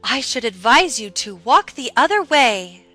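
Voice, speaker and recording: A smug garden rose, voiced by a woman in a children's audiobook, talking down in an airy way. The voice is fairly high and bright, with soft music behind. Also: big pitch swings, measured pace, full character voice. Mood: airy, knowing. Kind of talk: dramatized children's audiobook acting.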